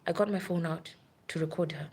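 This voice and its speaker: A woman's voice: lowish voice, fairly even intonation, brisk, darkish tone, husky. A woman who works as a nurse, telling a long story in a rambling, urgent way on a live stream. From Africa.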